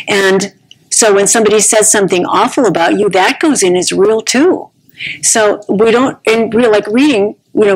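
A woman's voice: medium pitch, low-quality recording. A woman in her sixties giving a conference talk at a podium, chatty and anecdotal, often restarting her sentences, on a small clip-on mic. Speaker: conference speaker. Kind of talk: conversational lecture. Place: conference room with curtained stage. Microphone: small clip-on mic at the neckline.

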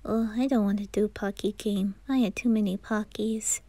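A woman voicing a shy, downcast teenage anime boy, speaking quietly and hesitantly in an amateur audio roleplay. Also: medium pitch, fairly even intonation, normal speed, natural voice. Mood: subdued, shy.

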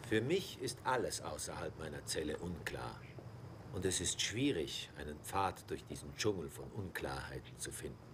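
A trusting monk with a very deep voice in a dubbed film. He tells his story calmly and reflectively, and his pitch rises and falls in a lively way. He never sounds guarded. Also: moderate pace, noisy background. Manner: calm, reflective, unguarded. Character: gentle, trusting male Carthusian monk. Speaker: narrator recounting his journey.

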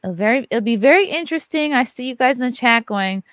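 A woman's voice: on the high side, expressive, fast talker, fairly bright tone, no room sound. Joking woman podcaster, dry close mic. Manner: loose, joking, swears freely. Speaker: podcast host. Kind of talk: casual livestream chat. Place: home studio with neon lights. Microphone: large studio mic on arm.

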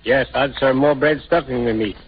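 A man with a husky voice, a chef guest in a scripted 1930s radio interview. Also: medium pitch, normal speed, a little hiss or hum. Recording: dull lo-fi 1930s radio broadcast.

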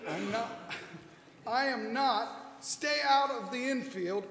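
A middle-aged man reading a classic comedy routine on stage, exasperated, animated and a little halting. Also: extremely high pitch. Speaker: amateur comedy performer. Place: small indoor stage in a hall. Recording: echoey, noisy hall from a distance.

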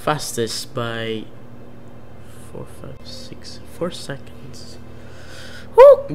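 A man's voice: mid-range voice, huge pitch swings, very slow, a little mellow. A man with a Filipino accent giving laid-back, casual gameplay commentary, swearing now and then and talking to himself. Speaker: gaming channel host. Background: game music and car engine.

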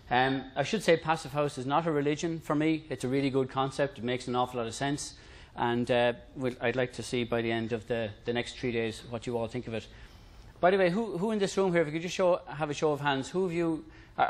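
A middle-aged Irish man presents an informal lecture. His voice sits at an average pitch, and he talks conversationally with light, dry humor, explaining ideas plainly to the room. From Ireland. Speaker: presenter introducing a technical concept.

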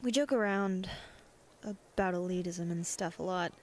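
A woman's voice: on the low side, moderate pace, a little mellow, slight background noise, close to an everyday voice. A woman playing a chatty young cybergoth college student in a casual ASMR roleplay. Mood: friendly, chatty.

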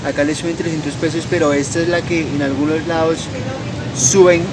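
A man's voice: fairly high pitch, flat delivery, brisk, noisy background. A young man from Colombia with a Bogotá accent, chatting casually to the camera outdoors on city streets and calling his viewers parceros. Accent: Bogotá Colombian Spanish. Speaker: cycling vlogger. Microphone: handheld or mounted camera close up. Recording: loud background music. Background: music.

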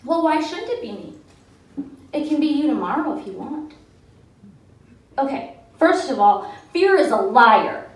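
A young woman reads a comic short story live with theatrical flair. Her voice sits fairly high and moves in big swoops. The recording is roomy, as if heard from across the venue. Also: measured pace, long pauses. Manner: theatrical, expressive delivery with acted dialogue. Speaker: writer reading own short story. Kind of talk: live comedic story reading. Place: stage in a small event room with audience. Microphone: mic on stand, picked up by distant camera. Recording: roomy, distant, noisy live-event recording.

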